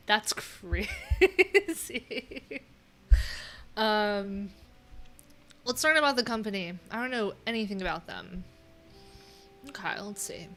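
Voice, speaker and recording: A woman's voice: mid-range voice, animated, slow pace, long pauses, a bit bright. A middle-aged woman hosting a casual livestream, thinking aloud and reading from her screen with wry, offhand asides. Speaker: livestream host and web developer. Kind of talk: casual live coding stream chat, thinking aloud. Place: small indoor room at home.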